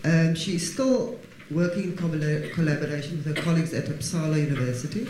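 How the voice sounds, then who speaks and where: A man introducing a guest speaker in a halting way, correcting himself, with light humor. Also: fairly high pitch, a little mellow. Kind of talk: formal introduction. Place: lecture hall. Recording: echoey hall with hiss.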